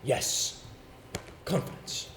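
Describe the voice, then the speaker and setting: A man on a theater stage playing an imperious, exacting acting coach who declaims pompously with grand flair. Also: a bit bright. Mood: soft, evocative. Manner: pompous, declamatory, deliberate diction. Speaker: stage actor in an amateur two-man play. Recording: very echoey theater, distant mic, noisy.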